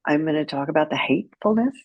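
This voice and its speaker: A woman's voice: deep voice, animated, fast talker, slightly rough, no echo. Outspoken woman, dull dry recording. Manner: outspoken, emphatic. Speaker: guest, author and caregiver advocate. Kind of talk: candid interview storytelling.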